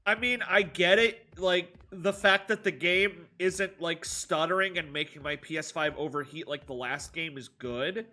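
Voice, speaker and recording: A man's voice: fairly high pitch, a little slow, hardly any pauses, bright, forceful tone. A man streaming a game with a stuffed-up nose, chatting sarcastically with his viewers as he plays. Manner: sarcastic, rambling banter with chat. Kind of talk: live gameplay stream, reading chat.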